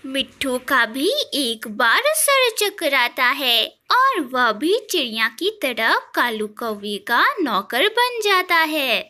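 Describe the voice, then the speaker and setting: A woman narrates a children's story from a script. Her voice is high and bright. She takes her time over each line. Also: big pitch swings, slow pace, hardly any pauses.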